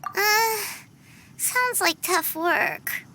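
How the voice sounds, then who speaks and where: Squeaky, extremely high little companion creature. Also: female voice, drawn-out words, background noise, full character voice. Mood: puzzled. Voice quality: squeaky, childlike. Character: tiny, childlike, curious floating companion creature. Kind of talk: video game cartoon-like character dialogue.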